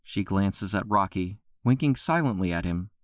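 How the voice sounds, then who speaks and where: A man narrating an audio drama with a steady, scripted storytelling delivery. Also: low-pitched, moderate pace, no room sound, clean studio sound.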